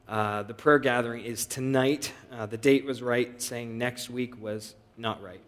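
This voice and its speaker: A man preaching a sermon and giving announcements to his congregation in a relaxed, conversational way with frequent filler pauses. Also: mid-range voice, in a large hall. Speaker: pastor. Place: church sanctuary.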